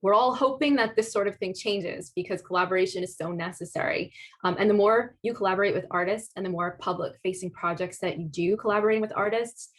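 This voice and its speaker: A woman's voice: mid-range voice, quick pace. A woman artist answering audience questions at a talk, thoughtful and hesitant, with many fillers and restarts. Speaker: guest speaker, artist.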